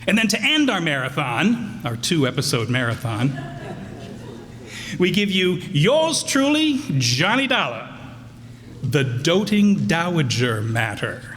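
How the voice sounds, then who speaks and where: Animated, unhurried male announcer in a hall. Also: fairly high pitch. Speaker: announcer and host. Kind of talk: live introduction of a radio play. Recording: reverberant hall, faint background noise.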